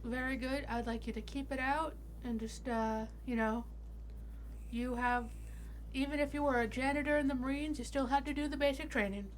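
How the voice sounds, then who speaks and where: A woman's voice: high voice, steady tone, moderate pace, a little hiss or hum, poor audio. A woman playing a tabletop roleplaying game, bantering casually in a bossy, joking manner.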